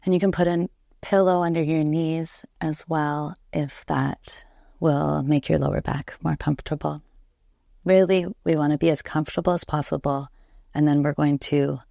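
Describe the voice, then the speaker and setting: A woman guiding a relaxation meditation as a yoga nidra instructor, soothing and unhurried, leaving long pauses between gentle instructions. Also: low voice, creaky, dry recording, studio quality.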